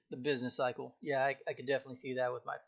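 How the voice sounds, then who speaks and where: A man casually talking to himself while studying on a live stream, often clearing his throat, which feels irritated. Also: medium pitch, flat delivery, fast, bright, forceful tone, dull audio. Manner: frequent throat clearing, laid-back, reciting notes from memory.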